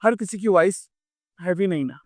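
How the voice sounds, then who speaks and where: A woman bodybuilder with a naturally deep, heavy voice, speaking bluntly and defiantly as an interview guest. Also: lively intonation, normal speed, darkish tone. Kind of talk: candid interview talk.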